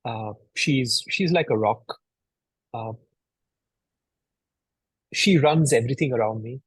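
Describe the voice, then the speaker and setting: A man's voice: mid-range voice, slow pace, husky, no echo, rough recording. A man co-hosting a conversation, reflecting hesitantly and often repeating words as he thinks. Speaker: podcast co-host.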